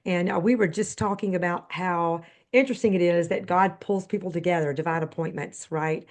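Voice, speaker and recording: A woman's voice: on the low side, steady tone, a little fast, a little mellow. A woman hosting a faith-based podcast interview, chatty and a little rambling. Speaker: podcast host.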